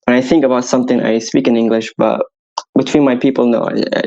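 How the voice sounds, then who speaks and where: A man's voice: medium pitch, flat delivery, quick pace, warm tone, muffled recording. Hesitant young man. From Syria. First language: Arabic. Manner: hesitant, restarts and searches for words. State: tired from staying up all night. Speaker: guest music producer. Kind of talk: casual interview answers.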